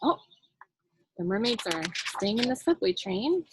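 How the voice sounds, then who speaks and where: Slow-paced, higher-voiced woman teaching storytime. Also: big pitch swings, background noise. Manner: slow, demonstrative, explaining signs as she goes. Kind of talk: sign language storytime lesson with singing and read-aloud.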